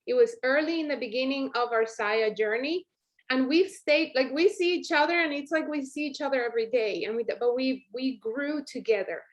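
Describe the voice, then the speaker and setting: A woman with a fairly high voice talks informally to her team as the leader of the call. She is chatty and encouraging, speaking in a conversational motivational style. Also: moderate pace.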